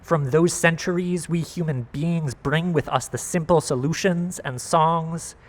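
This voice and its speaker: A man reads poetry aloud in a voice a little higher than most men's. He goes very slowly and measures out each short phrase, with pauses between them. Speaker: guest reader of a poem. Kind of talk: poetry reading at a worship service.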